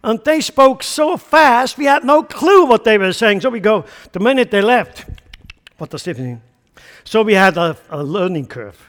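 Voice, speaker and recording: A man's voice: sits high, expressive, moderate pace, fairly bright tone, in a large hall. A man from central Switzerland with a Swiss German accent, preaching a sermon with emphatic rhetorical questions. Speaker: pastor.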